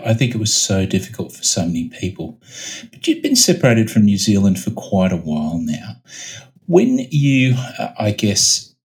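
A middle-aged man hosting a medical podcast, opening with a measured scripted intro and then asking friendly questions. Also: lowish voice, darkish tone. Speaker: podcast host, surgeon. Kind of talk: podcast interview.

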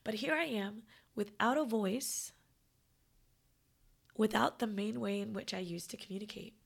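Hoarse, raspy woman half-whispering. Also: medium pitch, a little slow. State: hoarse, losing her voice. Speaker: podcast host. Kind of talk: casual reflective monologue.

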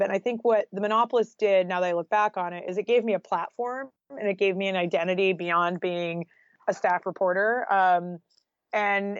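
A woman's voice: mid-range voice, no echo. Female interview guest, American, candid and informal, conversational delivery, dry and slightly muffled recording. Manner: candid, informal, peppered with "like" and "you know". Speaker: guest, freelance journalist.

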